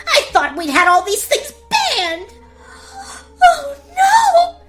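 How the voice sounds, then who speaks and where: A woman's voice: extremely high pitch, wildly swooping pitch, slow pace, fairly bright tone, soft background music, pitched above the performer's own voice, heavily acted. A woman playing a doting but bossy queen mother in a comedic fairy-tale parody, melodramatic and overwrought. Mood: distraught.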